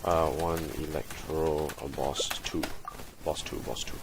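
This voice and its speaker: Very deep, creaky-voiced male game streamer. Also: fairly even intonation, unhurried, noisy background. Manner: muttering, thinking aloud in fragments. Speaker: game livestreamer. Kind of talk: casual live gaming commentary.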